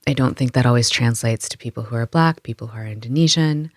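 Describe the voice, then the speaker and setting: Lively woman, tour guide, dry sound. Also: rumbling low, fast talker, dry recording. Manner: animated, lively, thinks aloud with fillers. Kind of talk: conversational interview answers. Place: Amsterdam.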